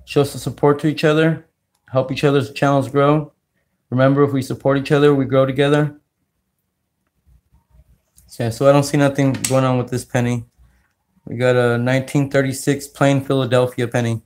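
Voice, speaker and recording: A man from California hosting a casual livestream, talking slowly with many fillers as he greets viewers. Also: mid-range voice, level tone, a little mellow. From California, United States. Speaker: livestream host. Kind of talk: casual livestream chat while sorting coins.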